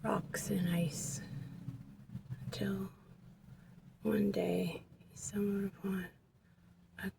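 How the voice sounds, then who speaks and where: A woman speaking in a hushed, often near-whispered voice, casually commenting and telling stories on a noisy handheld vlog recording. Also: mid-range voice, flat delivery, very slow, vocal fry, long pauses. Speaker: vlogger filming and telling a story.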